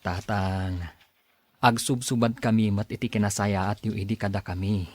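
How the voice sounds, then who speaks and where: A man's voice: fairly low pitch, fairly even intonation, moderate pace, a little mellow, lightly acted. A man playing a devoted, respectful grown son in a radio drama, speaking earnestly. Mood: grateful, earnest. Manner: earnest, deferential.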